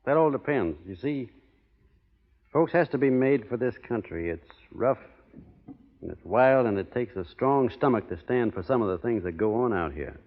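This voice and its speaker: American cowboy, plain polite folksy talk, muffled old radio western, big hall echo. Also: male voice, mid-range voice, lively intonation, normal speed. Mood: earnest. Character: plainspoken, courteous young cowboy of the Old West. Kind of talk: 1930s radio drama acting.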